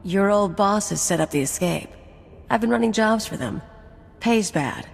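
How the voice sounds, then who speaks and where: Wry game rogue woman, brash, vocal fry, echoing space, faint music. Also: medium pitch, animated, unhurried. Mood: wry, cocky. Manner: casual, cocky, slangy. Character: brash, wry female rogue card cheat. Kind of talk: video game drama acting.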